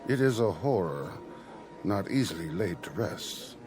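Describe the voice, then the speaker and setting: An actor playing a courteous gentleman war veteran, formal and measured, in a period radio drama. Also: low-pitched, animated, over music. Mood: solemn, gracious.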